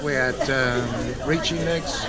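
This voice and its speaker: A man's voice: mid-range voice, slow. Guy in his 60s, chatty and jokey, hosting a sailing club vlog, plain middle voice, crowd noise everywhere. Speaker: sailing club vlog host interviewing racers. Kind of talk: casual chat. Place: outdoors under a tent at a busy sailing club. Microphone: handheld mic. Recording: outdoor recording with loud crowd. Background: loud crowd chatter.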